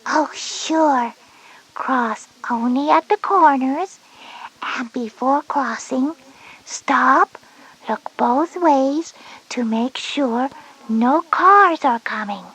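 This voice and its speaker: Casting: a tiny curious little sister, breathy, soft and high, earnest, for a children's educational story. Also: female voice, very slow, heavily acted, rough recording.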